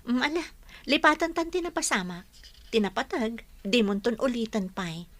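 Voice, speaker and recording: An actress as a friendly young nurse in a radio drama, speaking with an Ilocano accent. Her voice is high and bright, recorded dry. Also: expressive, moderate pace, dry recording. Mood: forgiving. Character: friendly, forgiving young nurse, dutiful daughter.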